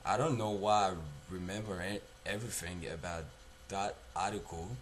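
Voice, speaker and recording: A young man playing a guilt-haunted narrator in a confessional dramatic monologue, halting, subdued and repeating himself. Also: low-pitched, steady tone, a little hiss or hum, natural voice.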